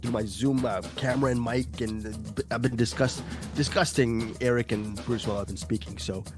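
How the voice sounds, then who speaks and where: A man hosting a movie podcast over a video call, hoarse from a cold, joking and laughing through rambling questions to his co-hosts. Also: medium pitch, fairly even intonation, normal speed, over music. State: raspy voice from a cold. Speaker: podcast host.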